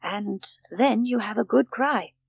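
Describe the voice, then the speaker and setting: A woman voicing a kindly, good-humoured older male doctor in a storybook, with a gentle, measured manner. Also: on the high side, gravelly, breathy edge, no echo, exaggerated voice. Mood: kindly, soothing. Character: kindly, jovial grey-haired male doctor, calm and reassuring.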